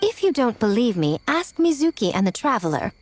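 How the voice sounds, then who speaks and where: Game role: sly, high-voiced lady, arch and dramatic, very lively intonation. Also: fairly quick. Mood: arch, teasing. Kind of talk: video game cutscene acting.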